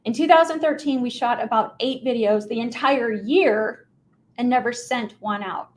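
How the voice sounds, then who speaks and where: A middle-aged American woman with a high voice, telling stories in an emphatic, informal motivational business presentation and addressing listeners directly. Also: normal speed. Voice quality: high-pitched voice. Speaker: mortgage professional giving a talk on video marketing.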